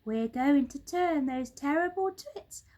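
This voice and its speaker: A high-pitched, determined monkey father, voiced by a woman reader, takes charge in a storybook tale. He calls out with urgency and authority. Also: expressive, slow pace, warm tone. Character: urgent monkey father, bossy leader of his family.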